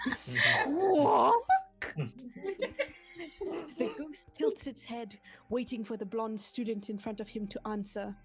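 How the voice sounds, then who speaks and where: A woman's voice: medium pitch, a little mellow. A woman reading a comic fan story aloud in a dramatic narrating style on a livestream. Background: light music.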